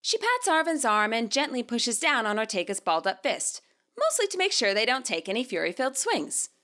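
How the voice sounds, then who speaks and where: A woman reading scripted story narration as its author, in an expressive way. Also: a little high, fairly quick.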